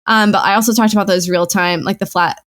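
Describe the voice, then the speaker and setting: A woman co-hosting a podcast, chatting casually in a giggly, bubbly way and speeding up when she feels rushed. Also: mid-range voice, steady tone, a bit bright. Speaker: podcast co-host.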